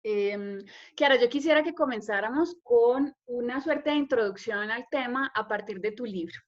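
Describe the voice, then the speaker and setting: A woman's voice: a little high, normal speed, highs cut off. A woman moderating an academic panel, asking long layered questions in a courteous way.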